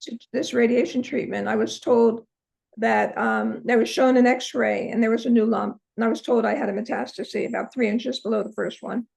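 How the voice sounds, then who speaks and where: An older woman with a hoarse voice, telling her personal story as an interview guest. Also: fairly high pitch, steady tone, normal speed, darkish tone, poor audio. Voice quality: hoarse, from a vocal cord injury. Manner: earnest storytelling, many ums. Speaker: interview guest, holistic practitioner.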